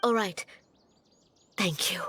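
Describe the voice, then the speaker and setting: A woman's voice: medium pitch, slow, sharp tone, slight background noise. A woman voicing an anxious village mother pleading for help. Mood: worried.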